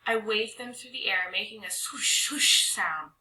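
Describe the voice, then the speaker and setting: A woman reading a children's storybook aloud with expressive, dramatic narration, stretching some words and hushing others. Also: mid-range voice, unhurried. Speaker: storybook reader. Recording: roomy echo.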